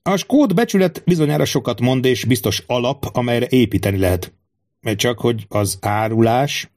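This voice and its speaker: A man's voice: medium pitch, animated, a little mellow, close to an everyday voice. Man voicing a wily, suspicious, theatrical king. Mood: brooding, ironic. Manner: theatrical, musing, rhetorical. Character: wily, suspicious king, fatherly yet scheming.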